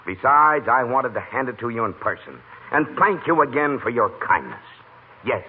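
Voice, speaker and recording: A man playing a courteous, earnest gentleman visitor with formal, flowery politeness in an old radio comedy. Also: mid-range voice, very animated, normal speed, husky. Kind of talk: 1940s radio comedy acting. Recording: tinny old-time radio recording.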